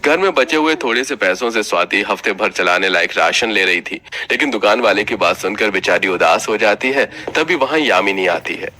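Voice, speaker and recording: A man's voice: on the low side, lively intonation, moderate pace, few pauses, sharp tone, soft background music. A man narrating a scripted children's story as the storyteller.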